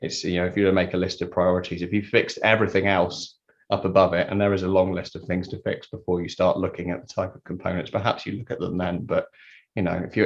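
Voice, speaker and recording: Dull lo-fi recording of a low, even-voiced man. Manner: halting, repeats words while thinking. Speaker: interview guest, loudspeaker designer. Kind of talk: conversational technical explanation. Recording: dull lo-fi sound.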